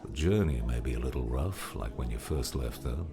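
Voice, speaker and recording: A grave old mentor, acted by a man in an audiobook drama, with an extremely low voice full of gravel. He speaks deliberately and knowingly, as if every sentence carries weight, over clear background music. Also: animated. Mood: solemn, urgent.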